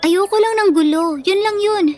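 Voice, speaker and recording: A woman's voice: very high voice, moderate pace, a little mellow, soft background music. A woman playing a meek but steadfast bullied teenage schoolgirl in a radio drama, pleading and earnest, with a Filipino accent. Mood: apologetic, uneasy.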